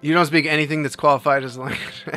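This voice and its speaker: A man's voice: a little high, pushed and bright. A young man from New Jersey hosting a live stream, chatting casually with his chat, rambling and laughing often. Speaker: livestream host.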